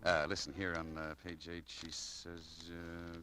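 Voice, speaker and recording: An actor playing a modest, smitten young shop clerk in 1940s radio style, earnest and a little halting. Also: male voice, sits low, monotone, pushed and bright. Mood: eager, smitten.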